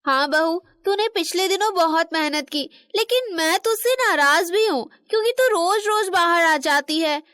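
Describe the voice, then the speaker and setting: High-voiced stern elderly mother-in-law played by a woman. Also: expressive, moderate pace, few pauses, fairly bright tone, no room sound, studio quality. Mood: caring, reproachful. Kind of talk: animated moral story dialogue in Hindi.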